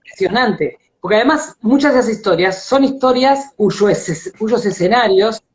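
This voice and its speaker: A man's voice: high-pitched, expressive, moderate pace. A man with an Argentine accent hosting a live online conversation, speaking earnestly and reflectively. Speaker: interviewer and host. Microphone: online video call.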